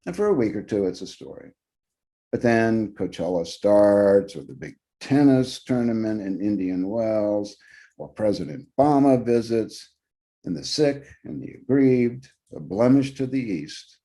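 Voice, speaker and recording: A man with a very low, dark and mellow voice reads a short story. He narrates in the first person like an actor on stage, measured and dramatic, letting phrases linger. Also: lively intonation.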